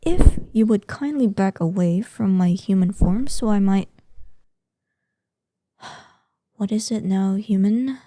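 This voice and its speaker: A woman playing an ancient, proud and curious female dragon in an audio roleplay, speaking softly in formal, old-fashioned phrases. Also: medium pitch, no room sound, studio quality, natural voice. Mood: weary, impatient. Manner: formal, archaic, deliberate phrasing. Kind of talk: scripted audio roleplay addressed to the listener.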